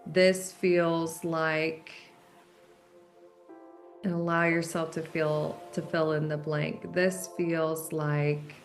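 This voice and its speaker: Slow, low-voiced woman guiding meditation. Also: flat delivery. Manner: gentle, unhurried, with long pauses. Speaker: guided meditation leader. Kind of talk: guided meditation, soft instructional talk. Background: background music.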